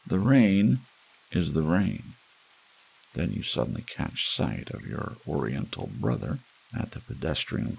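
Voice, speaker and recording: A man with an extremely low voice full of heavy fry reads noir fiction aloud in an ASMR style. His delivery is slow, deliberate and dramatic, with pauses between phrases. Rain sounds play behind him. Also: rough recording. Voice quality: gravelly, husky. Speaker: audiobook narrator. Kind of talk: scripted second-person noir fiction narration, read as ASMR. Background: rain and wind ambience.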